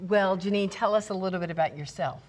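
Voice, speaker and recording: A woman's voice: medium pitch, fast talker, darkish tone. A woman speaking as a talk show host, introducing and questioning guests in a conversational way. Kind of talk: conversational interview hosting.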